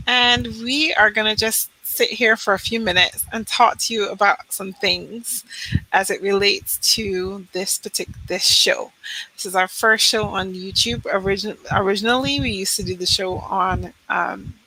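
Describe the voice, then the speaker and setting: A woman's voice: mid-range voice, steady tone, a little slow, bright, forceful tone, poor audio. A woman from Manchester hosting a podcast, earnest and friendly, now and then tripping over her words. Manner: friendly, earnest, occasionally tongue-tied. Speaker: co-host, HR consultant. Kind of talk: casual podcast chat.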